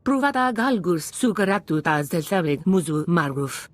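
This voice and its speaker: Low-voiced alien dealer. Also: female voice, very animated, moderate pace, dry recording. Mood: businesslike. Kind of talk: video game voice acting.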